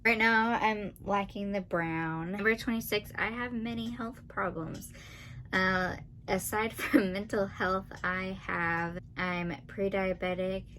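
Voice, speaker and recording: A woman in her twenties with a nasal, monotone voice, chatting fast and dryly as a vlogger counting off facts about herself. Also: mid-range voice, a little hiss or hum. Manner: talks fast and a lot, dry and sarcastic. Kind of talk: casual talking-to-camera list video.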